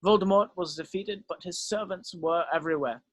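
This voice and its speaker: A man voicing a weary, gently remorseful old wizard headmaster in a script read-through, speaking in a measured, solemn way. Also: high voice, no room sound. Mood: heavy, confessional.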